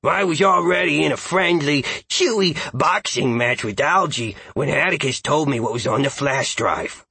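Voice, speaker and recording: A man performs a playful, chatty dog telling his own story in an audiobook. The voice is bright and forceful, very animated and rough-edged. It is recorded close and dry, with an exuberant, goofy delivery. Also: a little high, moderate pace, hardly any pauses, dry recording, exaggerated voice. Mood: chatty, amused. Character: excitable, playful male dog narrating his adventures. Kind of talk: first-person audiobook narration.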